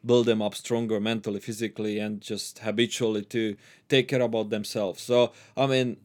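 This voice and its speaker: Halting man, lowish voice. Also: little pitch movement, darkish tone. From Transylvania, Romania. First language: Hungarian. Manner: halting, many restarts and fillers, laughs often. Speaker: interview guest, gym owner. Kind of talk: casual interview.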